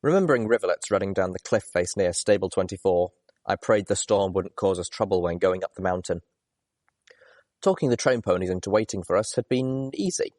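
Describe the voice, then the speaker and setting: A man narrates a first-person story in a fairly low voice. His reading is expressive, in audiobook fashion, and the recording is dry with little room sound. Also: no room sound. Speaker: audiobook reader.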